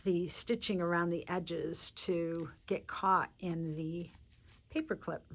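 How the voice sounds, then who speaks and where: A woman's voice: fairly low pitch, steady tone. A woman showing off a handmade journal in casual show-and-tell narration, chatty and congested from allergies. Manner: chatty and unhurried, with many fillers and restarts.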